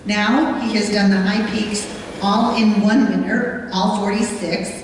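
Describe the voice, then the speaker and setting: A woman's voice: mid-range voice, fairly even intonation, a little slow, a little hiss or hum. A woman introducing the evening's speaker at a club meeting, telling a story about him in a chatty way. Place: meeting room. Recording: echoey hall, distant mic.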